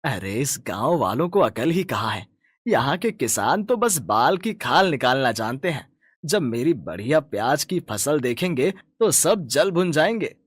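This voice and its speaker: A man's voice: mid-range voice, very animated, unhurried, slightly rough, no room sound. A man voicing a greedy, boastful, stubborn village farmer and husband in a cartoon moral story, talking in a rustic, dismissive way. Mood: scornful.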